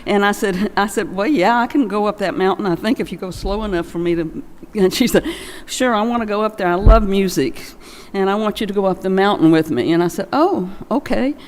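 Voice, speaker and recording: An older woman telling informal, folksy anecdotes as a remembrance speech in a large church. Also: mid-range voice. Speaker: friend giving a remembrance. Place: large church, at a memorial gathering. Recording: long echo of a large church hall.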